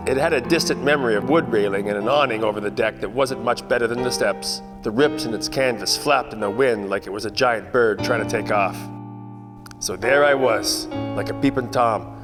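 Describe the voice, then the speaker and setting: An actor plays a hard-boiled private detective from a 1940s radio play. He narrates in slangy, wisecracking fashion at an average male pitch, with plucked guitar music clearly behind him. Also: natural voice. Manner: wry, slangy storytelling.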